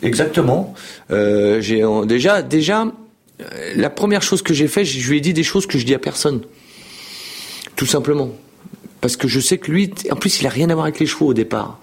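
A man's voice: mid-range voice, lively intonation, normal speed, breathy edge. A man in his fifties telling his story in a casual interview, rambling with frequent repeated words and fillers. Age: 50s. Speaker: show jumping rider.

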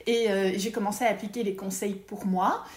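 A woman's voice: mid-range voice, steady tone, brisk, roomy sound. A woman, a feng shui consultant, talking casually in an interview and explaining her work with many hesitations and restarts.

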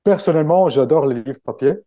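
A man's voice: a little high, fairly quick, mellow, no echo, lo-fi sound. An Italian man speaking French as a second language with an Italian accent, talking through an interview with many fillers and restarts. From Italy. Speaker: guest, book-summary creator. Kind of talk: casual interview conversation.

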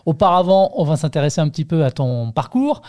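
Guy hosting a career podcast, soft dark-ish voice, friendly questions. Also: on the high side, big pitch swings, a little fast. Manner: friendly, guiding questions, some fillers. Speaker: podcast host, interviewer. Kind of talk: hosted podcast interview.

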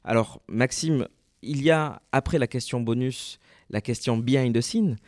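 Halting co-host with dry sound. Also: male voice, mid-range voice, darkish tone, no echo. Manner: halting, appreciative. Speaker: podcast co-host. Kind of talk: podcast talk.

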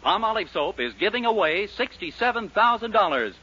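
Casting: American male announcer, 1940s radio, high animated voice, emphatic sales pitch, dull vintage recording. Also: a little fast, background noise. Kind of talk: 1940s radio announcing, scripted commercial and narration.

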